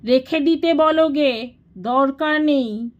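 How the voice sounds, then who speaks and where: A woman's voice: high-pitched, takes it slowly, dull audio, voice pushed up. A woman voicing a weary, wounded middle-aged elder brother in an audio storybook, curt and indignant.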